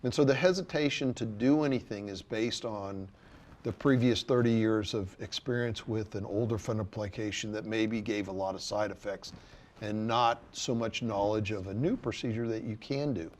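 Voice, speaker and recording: A man's voice: fairly low pitch, normal speed. A man, a surgeon, chatting casually and explaining treatment options with an easy, explanatory manner. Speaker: surgeon guest. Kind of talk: casual panel chat.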